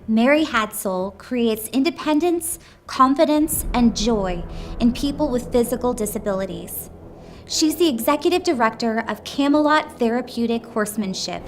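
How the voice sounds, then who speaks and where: A woman reading a scripted tribute story aloud as narration. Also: a little high. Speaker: company employee reading submission stories. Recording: music behind the voice. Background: background music.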